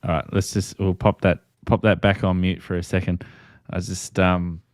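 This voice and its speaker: Male livestream host, slightly deep, casual and unscripted, recorded dry. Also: level tone, moderate pace, a little mellow, no room sound. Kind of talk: casual live-stream chat about ham radio.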